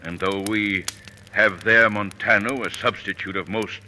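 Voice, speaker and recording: An actor playing a courtly head of state with pompous, formal oratory in old radio Shakespeare style. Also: male voice, on the low side, fairly even intonation, measured pace, pushed and bright. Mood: commanding. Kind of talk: 1940s radio Shakespeare acting. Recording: faintly noisy old radio broadcast.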